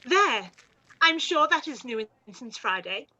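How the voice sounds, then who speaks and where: A woman voices a silly teenage girl in a period drama. She gushes and whines in a high, very lively, bright voice. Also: normal speed, highs cut off, exaggerated voice. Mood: demanding. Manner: gushing, petulant. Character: excitable, whiny teenage girl, silly younger sister. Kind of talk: period radio play acting.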